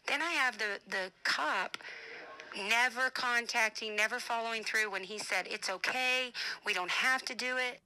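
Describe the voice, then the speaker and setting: A woman's voice: fairly high pitch, a little slow, sharp tone, rough recording. A woman speaking informally in a personal voice message, emphatic and defensive, repeating her points to drive them home. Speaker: family advocate and show host.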